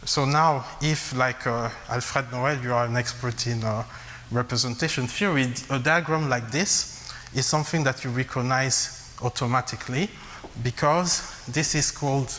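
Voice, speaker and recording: A man who grew up in Congo giving a math lecture, halting with frequent fillers and restarts. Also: mid-range voice, fairly bright tone. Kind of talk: academic lecture at a blackboard. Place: lecture hall. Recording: long reverb, faint hiss.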